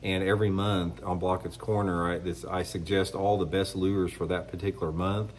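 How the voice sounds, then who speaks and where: A man's voice: low-pitched, little pitch movement, normal speed, slight background noise. A middle-aged man, congested from a cold, giving a blunt, rambling opinion monologue on his fishing channel. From United States. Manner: rambling, blunt, restarts often. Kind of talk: casual opinion monologue to camera.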